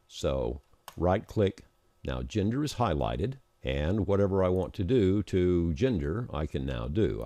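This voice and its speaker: A man's voice: sits low, dark tone, dry recording. A man giving an informal screencast tutorial, explaining each click step by step in an instructional way, recorded as a close-miked voice-over.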